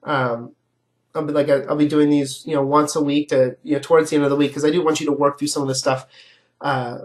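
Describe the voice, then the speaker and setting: A man's voice: fairly high pitch, little pitch movement, a little fast. A man with a stuffy nose giving a casual, explanatory philosophy lecture to students, often asking "right?" as he goes. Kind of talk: recorded online lecture.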